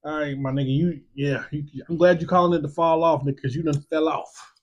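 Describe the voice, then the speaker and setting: High-voiced man in relaxed podcast banter. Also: fairly quick. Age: 30s. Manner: relaxed slangy banter, repeats himself. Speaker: podcast host. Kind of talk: casual chat.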